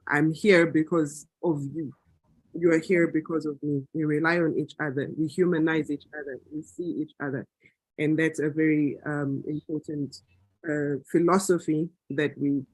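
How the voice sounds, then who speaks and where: A man from Zambia, his voice sitting somewhat high for a man and carrying a husky, breathy edge. He talks in an unscripted, reflective way, with frequent fillers and restarts. The recording is dry and close, with little room sound. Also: no echo, poor audio. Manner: thoughtful, hesitant, many fillers and restarts. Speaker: meeting participant. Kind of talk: unscripted talk at a planning meeting.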